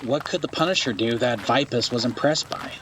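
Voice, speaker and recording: A man's voice: medium pitch, brisk, fairly bright tone, recorded from a distance, a little hiss or hum, close to an everyday voice, poor audio. A man playing a curious, eager listener in a fantasy audio drama, asking questions conversationally. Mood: intrigued. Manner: inquisitive, conversational.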